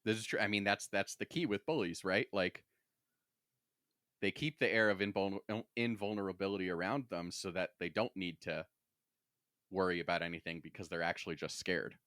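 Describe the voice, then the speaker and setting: A man's voice: sits low, normal speed, fairly bright tone, no room sound, studio quality. A man co-hosting a podcast, talking casually about books and peppering his speech with "like". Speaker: podcast co-host. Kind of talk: casual book discussion chat.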